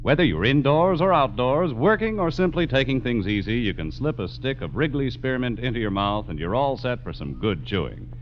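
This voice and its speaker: A man's voice: medium pitch, very animated, normal speed, hardly any pauses, slight background noise. A man working as a radio announcer, giving a polished 1950s advertising read. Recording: muffled old radio recording.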